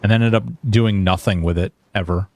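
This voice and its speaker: A man in his early forties chatting casually and haltingly to livestream viewers while gaming, informal and self-deprecating, with some mild swearing. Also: low voice, fairly even intonation, a little mellow. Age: early 40s. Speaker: game livestreamer.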